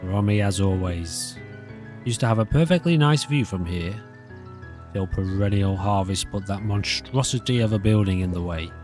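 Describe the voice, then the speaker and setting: A man's voice: fairly low pitch, normal speed, darkish tone, faint music behind, natural voice. Man doing a grumpy old pig, grumbling. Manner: grumbling, put-upon. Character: grumpy old male pig napping in town.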